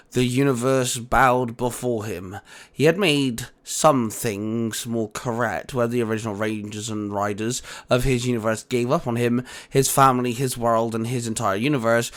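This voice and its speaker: A man reading scripted audiobook narration for a fan fiction adventure story. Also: mid-range voice.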